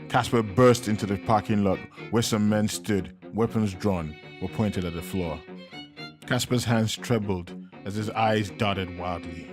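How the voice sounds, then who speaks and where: Male narrator, lower register, dramatic thriller reading over soft music. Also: unhurried. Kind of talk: scripted audiobook narration.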